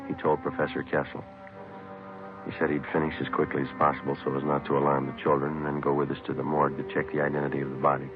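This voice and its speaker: An actor playing a terse, businesslike police sergeant narrating a vintage radio crime drama in a deadpan, clipped way. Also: male voice, extremely low pitch, fast talker, narrow, tinny audio. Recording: band-limited vintage radio recording, music behind.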